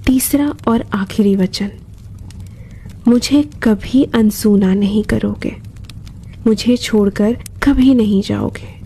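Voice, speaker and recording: A woman playing a seductive, possessive female demon spirit in a horror story, with slow, breathy, whispery, deliberate delivery. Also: medium pitch, warm tone, a little hiss or hum. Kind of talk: Hindi horror audio story acting.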